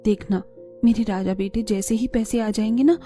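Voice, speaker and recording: A woman voicing a poor, gentle, hopeful mother in a cartoon moral story, speaking in a soft, reassuring, respectful way. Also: mid-range voice, fairly even intonation, normal speed, natural voice. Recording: background music under the voice.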